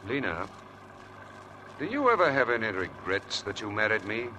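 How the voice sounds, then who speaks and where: An actor playing a charming, evasive young husband with smooth, persuasive patter, in 1940s radio drama style. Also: male voice, on the low side, wildly swooping pitch, normal speed, pushed and bright, heavily acted. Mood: tender, evasive. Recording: noisy old 1940s radio broadcast.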